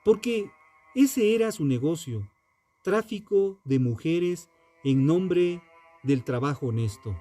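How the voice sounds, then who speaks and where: Slow, solemn male true-crime narrator. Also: fairly high pitch, animated, mellow. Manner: solemn, deliberate, sententious. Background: background music.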